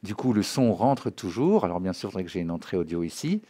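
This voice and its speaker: A man giving an informal spoken tutorial as a music software trainer, explaining as he demonstrates and often checking that listeners follow. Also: sits low, big pitch swings, fast. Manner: conversational, checks understanding often with short tag questions. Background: music and synth demo sounds.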